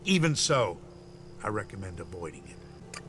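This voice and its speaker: An actor playing an officious, dutiful city gate guard in a video game, formal and earnest as if reciting rules. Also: male voice, low-pitched, big pitch swings, normal speed, fairly bright tone, faint music behind. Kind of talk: video game character dialogue.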